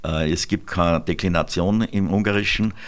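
A man's voice: low voice, fairly even intonation, normal speed, clean tone. A man hosting a radio talk, chatty and explanatory, with frequent hesitations. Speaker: radio host. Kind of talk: radio conversation.

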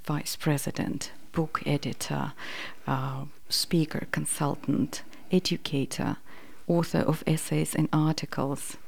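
A woman with a notably low voice hosts an interview in a radio studio. She speaks formally, reading out introductions before moving to friendly questions. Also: a little mellow. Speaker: radio host.

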